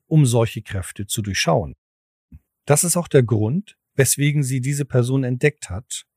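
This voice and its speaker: A man's voice: medium pitch, a little mellow, dry recording, clean studio sound. A man narrating a scripted audio drama as its storyteller.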